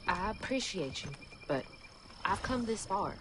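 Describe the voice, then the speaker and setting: A woman's voice: medium pitch, lively intonation, unhurried, background noise, natural voice. A woman playing a determined, tender young game heroine with a Southern American accent, earnest and plain-spoken. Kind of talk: naturalistic game drama dialogue. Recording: studio voice over music.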